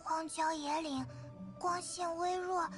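A woman voicing a tiny, excitable, childlike fairy companion in an anime game, squeaky and dramatic. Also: takes it slowly. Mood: nervous. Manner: exclamatory, dramatic. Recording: game music behind.

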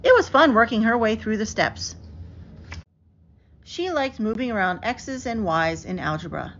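A woman reads a children's picture book aloud in an expressive, carefully enunciated storytelling manner. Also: on the high side, unhurried. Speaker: storybook reader. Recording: dull lo-fi audio with clear background noise.

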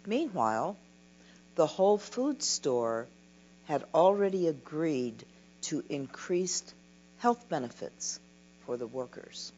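An older woman giving a keynote lecture from a podium, reading a prepared talk in a slow, measured way. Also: low-pitched, big pitch swings, a little mellow. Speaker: keynote speaker. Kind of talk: scripted conference lecture. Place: dim lecture hall at a podium. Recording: dull lo-fi recording with mains hum.

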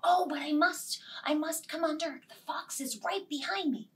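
A woman's voice: sits high, normal speed, distant mic, pitched above the performer's own voice, natural voice. A woman voicing a frightened bunny rabbit in a children's story, urgent and pleading.

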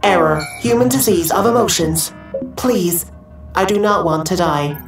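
A woman's voice: lowish voice, measured pace, creaky, echoey room, far from the mic, over music. A woman voicing a robot troubled by new emotions in a game, with clipped mechanical phrasing. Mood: alarmed, pleading. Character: female robot newly feeling emotions, pleading.

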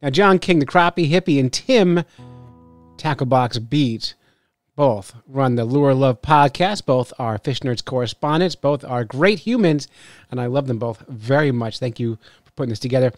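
A man's voice: medium pitch, lively intonation, moderate pace, no room sound, faint music behind. A man hosting a podcast, giving a casual, chatty introduction. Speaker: podcast host.

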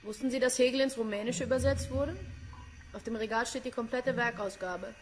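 An actress playing a dry, sardonic police inspector in a German radio crime drama. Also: female voice, fairly high pitch, fairly even intonation, fairly quick, close to an everyday voice. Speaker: police detective character.

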